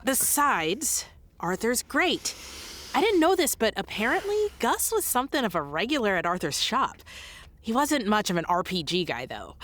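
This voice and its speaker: A bubbly, earnest radio host. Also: female voice, fairly high pitch, big pitch swings, normal speed, fairly bright tone. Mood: defensive. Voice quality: bubbly, eager. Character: upbeat, earnest young woman radio host. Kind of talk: comedic audio drama acting.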